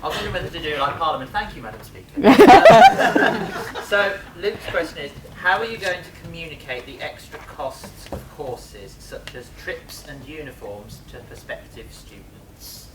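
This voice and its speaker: A man asking a question for a fellow student, loud, jokey and laughing as he reads it out. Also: fairly high pitch, big pitch swings, normal speed. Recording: distant roomy mic in noisy room.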